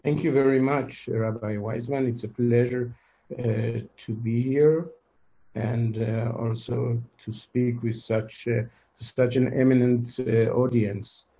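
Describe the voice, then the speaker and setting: An Israeli-accented man delivers a formal medical lecture. His tone is mellow and soft-edged, and his delivery is measured, with frequent hesitations. The sound is slightly dull. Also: mid-range voice, steady tone. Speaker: fertility doctor, conference lecturer.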